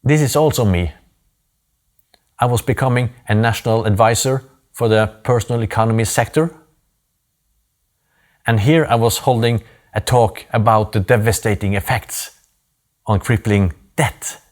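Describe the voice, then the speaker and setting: A man in his forties from Norway with a Norwegian accent, giving an earnest, deliberate personal story talk with pauses for emphasis. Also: on the low side.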